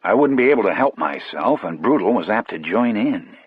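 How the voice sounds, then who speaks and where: A man's voice: fairly low pitch, expressive, moderate pace, slightly rough, dry recording, narrow, tinny audio. A man narrating an audiobook in the first person, as a storyteller.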